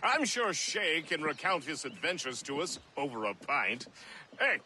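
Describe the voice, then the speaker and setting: Jovial dandy, theatrical, slow drawl, swooping pitch, game cutscene. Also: male voice, very animated, pushed and bright, full character voice. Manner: theatrical, drawn-out delivery. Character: jovial, flamboyant gentleman crony of a captain. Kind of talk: video game cutscene acting. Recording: faint background noise.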